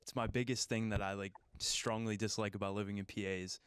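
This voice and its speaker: A man's voice: fairly low pitch, steady tone, brisk, fairly bright tone, clean tone. A man with an American accent hosting a podcast in a casual, conversational interview style. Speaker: podcast host.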